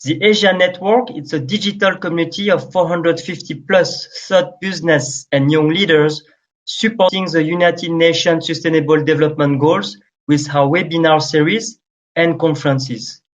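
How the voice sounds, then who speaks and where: A man moderating a webinar over a video call, reading a formal introduction of the speakers. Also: on the high side. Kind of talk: scripted introduction. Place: indoor room, video call. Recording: dull lo-fi call audio.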